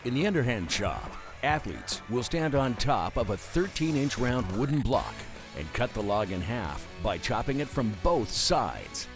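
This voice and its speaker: A man's voice: medium pitch, big pitch swings, a little slow, over music. A man narrating a sports broadcast in a scripted, explanatory way.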